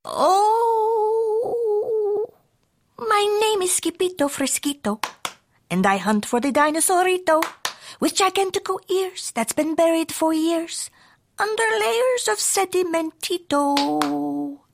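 A woman's voice: high voice, wildly swooping pitch, slow pace, fairly bright tone, voice pushed up, full character voice. A woman voicing a bold little Chihuahua swordfighter in a storybook, theatrical and singsong, with a put-on Spanish accent. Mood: singing softly.

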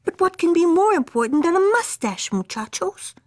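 A woman's voice: very high-pitched, big pitch swings, moderate pace, pitched above the performer's own voice. A woman voicing a boastful young kitten who plays at being a daredevil chihuahua, sprinkling in mock Spanish words. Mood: cocky.

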